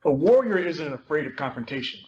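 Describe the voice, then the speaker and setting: A man's voice: a little high, very animated, a little fast, darkish tone. A man reading as a self-doubting teenage schoolboy narrator, hesitant and conversational. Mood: unsure, wry. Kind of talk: dramatic reading of a first-person novel. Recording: muffled room recording with faint noise.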